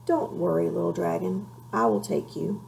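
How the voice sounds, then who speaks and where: A woman's voice: on the low side, normal speed, vocal fry, a little mellow, a little hiss or hum. A woman voicing a kindly, earnest male knight in a storybook, with an encouraging, explanatory manner.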